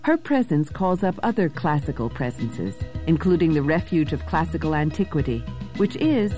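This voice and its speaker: A woman reads scripted commentary on literature with a measured, literate delivery. Her voice sits fairly low and has a dark, relaxed tone. Faint music plays behind her. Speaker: narrator introducing poetry.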